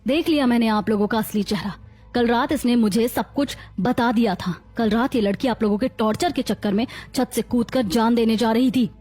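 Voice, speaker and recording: A woman playing a proud, protective elder sister-in-law who scolds emphatically in a melodramatic animated family story. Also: fairly high pitch, fairly quick, lightly acted. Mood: indignant.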